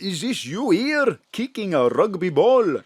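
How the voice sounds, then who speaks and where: An actor playing a cheerful, flirtatious foreign neighbour who mixes up English words. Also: male voice, high-pitched, a little slow, breathy edge, no room sound, studio quality, exaggerated voice. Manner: warm, flirtatious, lively. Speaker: Sakis, café owner friend. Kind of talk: live audio drama acting. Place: home studio.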